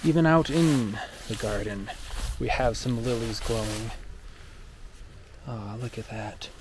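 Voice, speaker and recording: A man's voice: medium pitch, takes it slowly, background noise. A man giving a playful walk-through of a decorated outdoor trail at night, narrating in a theatrical storybook manner while holding the camera.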